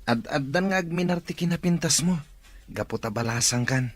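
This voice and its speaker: Flattering young cousin. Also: male voice, a little high, normal speed, soft background music. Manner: smooth, flattering, coaxing. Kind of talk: radio drama acting.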